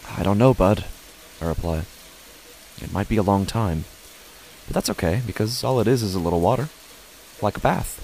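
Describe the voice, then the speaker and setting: Guy acting as a dad telling a horror story, really low voice, measured phrases, bit of hiss. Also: gravelly, darkish tone, low-quality recording. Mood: reassuring. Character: father narrating his family's uneasy stormy night. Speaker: narrator. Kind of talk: first-person horror story narration. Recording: faint hiss behind the voice.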